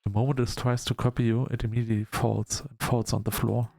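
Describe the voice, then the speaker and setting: Male gaming host, mid-pitched with vocal fry, narrating a visual novel in a measured, halting way. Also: little pitch movement, darkish tone, soft background music. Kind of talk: let's-play narration, reading game text aloud.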